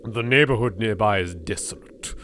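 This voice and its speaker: A man's voice: lowish voice, moderate pace, a bit bright, a little hiss or hum, lightly acted. A man voicing an anxious, frightened young woman exploring a haunted mansion, reading horror visual novel text theatrically. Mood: brooding, uneasy.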